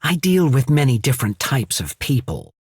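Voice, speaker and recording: A husky, shrewd naval diplomat. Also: male voice, medium pitch, big pitch swings, a little mellow, no room sound, clean studio sound, exaggerated voice. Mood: diplomatic. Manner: measured, formal, diplomatic, persuasive. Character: shrewd, courteous male naval emissary of an island empire. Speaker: video game character. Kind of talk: scripted fantasy game dialogue.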